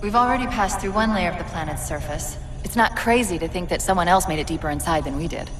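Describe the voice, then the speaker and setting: An actress playing a quick-witted, anxious female military AI companion whose mind is failing, in cinematic game style, wry, urgent and emotive. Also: fairly low pitch, animated, faint music behind, natural voice. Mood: focused. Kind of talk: cinematic video game voice acting.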